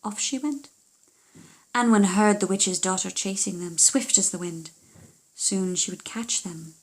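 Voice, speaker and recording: A woman narrating a children's fairy tale as an audiobook reader, theatrical and measured with deliberate pauses. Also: mid-range voice, rough recording.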